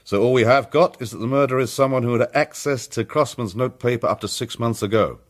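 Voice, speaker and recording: An actor playing a methodical police chief inspector in a period detective radio drama, speaking in a formal, measured, matter-of-fact way. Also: male voice, mid-range voice, natural voice. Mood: businesslike.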